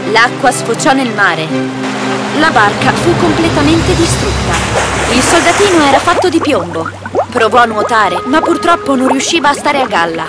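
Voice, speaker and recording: A woman's voice: high-pitched, sing-song, moderate pace. A woman reading a scripted children's fairy tale as its narrator. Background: loud background music.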